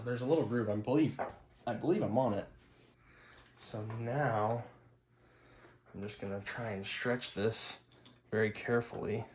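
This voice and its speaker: A man's voice: fairly low pitch, slight background noise. A man giving a casual hands-on repair demonstration, informal and upbeat, talking half to himself as he works. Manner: informal, muttering to himself while working. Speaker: host of a hi-fi repair channel.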